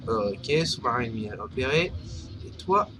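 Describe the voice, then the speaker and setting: A man's voice: mid-range voice, normal speed, music in the background, poor audio. Man with a cold commentating a game. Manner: chatty, hesitant with many fillers, bursts of excitement at hits. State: has a cold. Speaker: gaming commentator. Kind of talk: live gameplay commentary.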